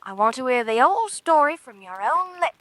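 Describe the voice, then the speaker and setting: A woman's voice: sits high, sing-song, fairly quick, fairly bright tone, no echo. An actress playing a cheeky, bossy, nosy woman in a vintage radio drama, saucy and chatty.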